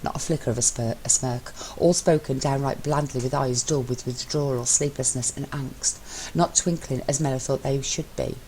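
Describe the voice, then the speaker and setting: A woman's voice: extremely low pitch, steady tone, normal speed, few pauses, mellow, slight background noise, poor audio. A woman reading fiction aloud as an amateur narrator. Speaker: amateur fan fiction reader. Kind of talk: scripted story narration.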